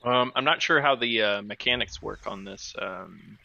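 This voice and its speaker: A man's voice: on the low side, normal speed, creaky, sharp tone. A man playing a tabletop game, talking casually and hesitantly in a dull, slightly noisy recording.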